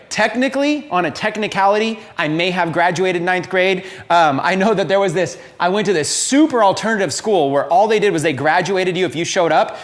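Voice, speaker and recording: A man in his late thirties giving a candid, unscripted motivational talk, openly confessing his past to viewers. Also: on the high side. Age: 30s. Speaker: entrepreneur and coach.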